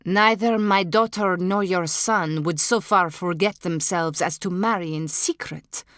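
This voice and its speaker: A woman's voice: on the low side, unhurried, fairly bright tone, dry recording, studio quality. A woman reading the part of a proud noblewoman mother who answers with sly, biting wit. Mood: sly, sharp.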